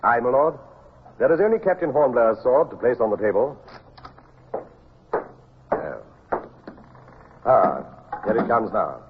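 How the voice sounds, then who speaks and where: A man's voice: mid-range voice, expressive, unhurried, pauses often, close to an everyday voice. An actor playing a loyal British naval captain, formal and deferential to his superior, with an English accent, in a radio drama. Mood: respectful, loyal. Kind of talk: 1950s radio drama acting. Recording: dull, slightly noisy old radio.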